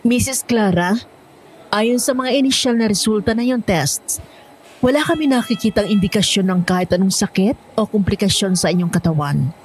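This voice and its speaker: Woman acting a calm, formal hospital doctor. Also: medium pitch, moderate pace, a little hiss or hum, natural voice, poor audio. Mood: calm, professional. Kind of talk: acted horror audio drama.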